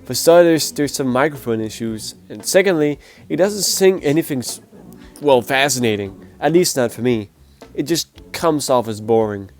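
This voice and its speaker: A man's voice: medium pitch, lively intonation, moderate pace, faint music behind. A man with a sick, nasal voice giving a blunt, opinionated casual review. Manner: blunt, opinionated, grades with scores. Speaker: music performance reviewer. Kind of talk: casual spoken video review.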